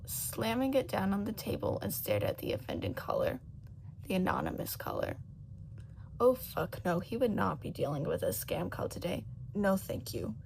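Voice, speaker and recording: Expressive woman narrating, slightly gravelly. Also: mid-range voice, a little hiss or hum, rough recording. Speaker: audiobook narrator of fan fiction. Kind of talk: dramatic scripted narration.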